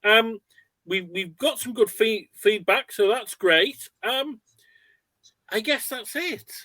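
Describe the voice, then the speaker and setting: A man hosting an online webinar in a casual, chatty way, with halting, rambling explanations. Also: high-pitched, bright, forceful tone, dry recording, poor audio. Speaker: webinar host. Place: indoors on an online video call.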